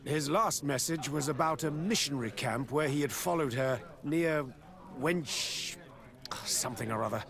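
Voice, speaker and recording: A man's voice: a little high, moderate pace, gravelly, a crowd in the background. An actor playing a stern, pious, middle-aged father in a video game, haughty and bitter in his formal speech. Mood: stern, resolute. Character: stern, pious, scornful father of grown daughter. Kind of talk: video game cutscene dialogue.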